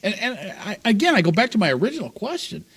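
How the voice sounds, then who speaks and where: A man co-hosts a sports talk show, pushing skeptical questions. His slightly high voice swings up and down with a lot of life. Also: very animated, fast talker, fairly bright tone, husky. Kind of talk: casual sports chat.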